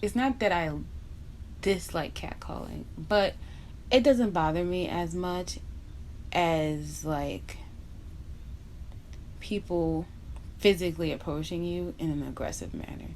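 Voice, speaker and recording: A woman's voice: on the low side, slow pace, long pauses, a little mellow, low-quality recording. Young woman, from Barbados, casual makeup chat, blunt slangy asides, faint background hiss. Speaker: beauty vlogger.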